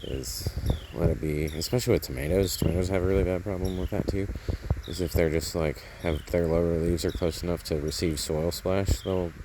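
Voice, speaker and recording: Guy with a super low voice rambling thru garden chores, thinking out loud, noisy outdoor recording. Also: fairly even intonation, a little fast, few pauses. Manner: rambling, thinking aloud while working. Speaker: gardening vlogger. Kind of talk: casual hands-on gardening commentary.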